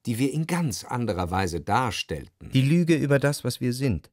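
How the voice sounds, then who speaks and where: The reflective first-person narrator of a literary radio drama, heard in a very dry studio sound. His voice sits in a middle register, and he sets out his thoughts in a measured, analytical manner. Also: medium pitch, sing-song, darkish tone, no echo. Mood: contemplative. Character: reflective male narrator, well-off Parisian man of letters.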